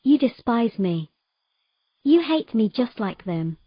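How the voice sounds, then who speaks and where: A woman's voice: on the high side, very animated, slow, husky, no echo, dull audio, heavily acted. A woman playing a vulnerable, self-blaming young mermaid in an earnest, pleading way for an audio fantasy romance. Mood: hurt, pleading.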